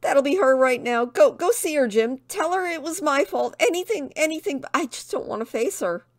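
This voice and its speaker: A hapless young man in a storybook , acted out by a woman narrator, pitched well up and full of panic. He pleads and exclaims dramatically, tripping over his words. The sound is close and dry. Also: animated, normal speed, no echo. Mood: panicked. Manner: stammering, exclaiming, British slang. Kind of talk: audiobook character dialogue.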